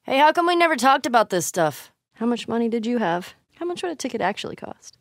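A woman's voice: fairly high pitch, very animated, fast, no echo, clean studio sound. An actress playing a tough, foul-mouthed, wry young woman survivor in a naturalistic video game performance. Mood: playful. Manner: muttering, terse, casually profane.

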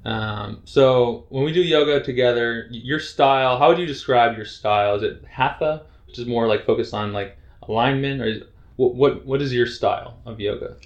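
Brief: male host, gravelly voice, curious and rambling, dull lo-fi sound in an echoey room. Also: medium pitch, normal speed. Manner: curious, rambling questions with filler "like". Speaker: podcast host. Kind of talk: casual interview questions.

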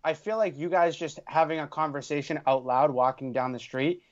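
A man's voice: a little high, steady tone, a little fast, muffled recording. A man with a Boston accent co-hosting a podcast, chatting casually in a joking, rambling way. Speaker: podcast co-host. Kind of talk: casual chat.

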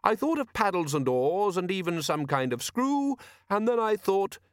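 A man acts out a gentle storybook inventor with a voice pitched well up high and very lively. He is earnest and diplomatic, rambling mildly and apologetically as his absent mind wanders. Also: moderate pace, smooth, no room sound, studio quality. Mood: earnest, enthusiastic.

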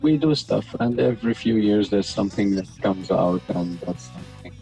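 A fairly low-pitched young man talks things through in a thoughtful, earnest way, tagging his sentences with "you know". Faint music sits quietly behind his voice. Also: moderate pace, gravelly, rough recording. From Palestine. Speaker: musician guest. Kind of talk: reflective interview answers.

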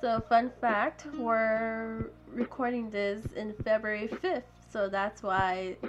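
A giggly teenage girl co-hosting a casual podcast. Her voice sits fairly high and she talks slowly, halting between words, with music clearly playing behind her. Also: little pitch movement, highs cut off. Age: teens. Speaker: podcast co-host. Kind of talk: casual chat.

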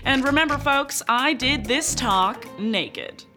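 A woman's voice: high voice, expressive, slow pace. Upbeat woman host over music. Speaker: podcast host. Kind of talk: scripted news read and interview hosting. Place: studio.

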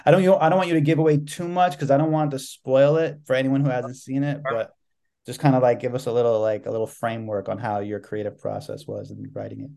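A man's voice: medium pitch, quick pace, gravelly, warm tone. A man from New York City hosting a podcast interview, talking loosely and rambling with lots of "like". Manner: loose and rambling, heavy use of "like". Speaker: podcast host. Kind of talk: casual interview chat.

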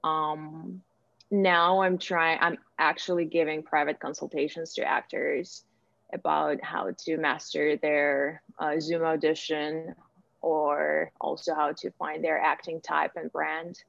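Casting: woman, Ukrainian accent, low register, conversational and hesitant interviewee, muffled lo-fi recording. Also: little pitch movement, takes it slowly, gravelly, a bit bright. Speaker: interviewee, actor and director. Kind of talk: casual interview answers. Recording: echoey room, dull lo-fi sound.

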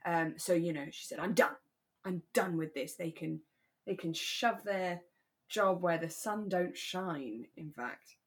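A British woman with a slightly low voice co-hosts a relaxed podcast. She retells and comments in an expressive, conversational manner, as in an easy chat. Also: measured pace. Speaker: podcast co-host. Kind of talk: relaxed radio drama recap chat.

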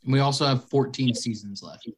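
A man co-hosting a casual podcast, relaxed and joking as he chats. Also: medium pitch, fairly quick, breathy edge. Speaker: podcast co-host. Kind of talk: casual chat.

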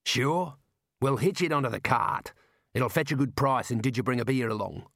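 Male narrator doing a wild, matey outback man; gruff, low, unhurried. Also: a bit bright, no room sound, clean studio sound. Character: rough Australian outback man, cheerful and wild.

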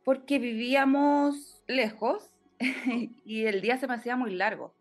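A woman's voice: on the high side, a little slow, soft background music. A middle-aged woman, a podcast guest telling stories in a casual, chatty way with frequent laughs. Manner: chatty, laughs often, speaks in loose run-on stretches. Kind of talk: casual conversational interview.

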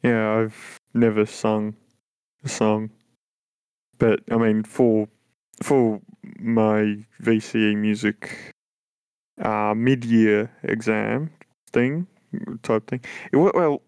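Slow, hesitant young man with a very deep voice. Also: flat delivery, a little mellow, clear, resonant tone, dry recording. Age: young adult. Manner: halting, hesitant, many restarts. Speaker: podcast co-host. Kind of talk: casual chat.

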